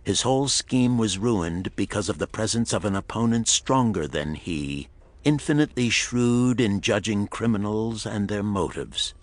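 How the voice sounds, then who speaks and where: Deep-voiced man narrating a thriller. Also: animated, normal speed, no room sound. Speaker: audiobook narrator. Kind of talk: dramatic scripted pulp thriller narration.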